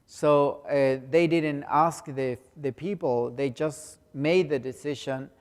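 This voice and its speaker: A Salvadoran pastor, a man who grew up speaking Spanish, talking in halting English with a Spanish accent and many restarts. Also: mid-range voice, in a large hall. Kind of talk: speaking to a congregation in non-native English.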